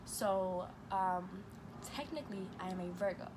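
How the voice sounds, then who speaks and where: A young woman from Chicago chats through a casual get-to-know-me vlog. She talks quickly and stutters on some words, reading each question aloud before she answers. Her voice sits at a middle pitch, and steady room noise runs behind her. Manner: fast-talking with a stutter, reads questions aloud then answers. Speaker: vlogger. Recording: noisy room ambience.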